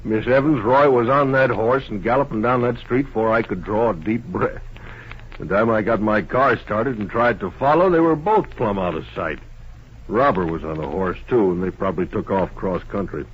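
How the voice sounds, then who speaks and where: An actor playing a plain-spoken, folksy small-town sheriff in an old western radio drama. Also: male voice, lowish voice, breathy edge. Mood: questioning. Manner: folksy, deliberate questioning. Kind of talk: 1950s western radio acting. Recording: muffled, hissy old radio recording.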